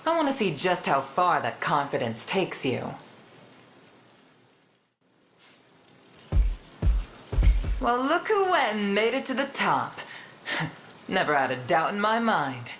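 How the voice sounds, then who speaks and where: A woman's voice: on the low side, unhurried. A woman voicing a cocky, battle-hungry young fighter with a swaggering drawl in a fantasy video game. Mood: cocky, teasing. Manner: swaggering, teasing, relaxed drawl. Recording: music behind the voice.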